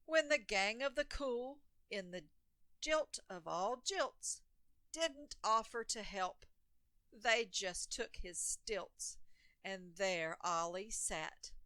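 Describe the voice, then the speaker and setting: A woman's voice: medium pitch, takes it slowly, bright, forceful tone, dry recording. A woman reading a rhyming children's picture book aloud in a theatrical, emphatic storytelling manner.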